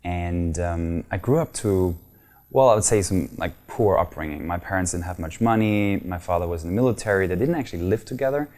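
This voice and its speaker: Low-voiced German man, earnest. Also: fairly quick, darkish tone. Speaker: guest. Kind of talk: casual interview talk.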